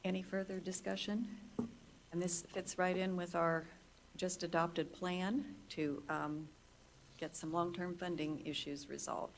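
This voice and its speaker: A woman with a gravelly, somewhat low voice chairs a board meeting, calling for seconds and votes in a brisk, procedural manner. There is a faint hum behind her. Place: meeting room.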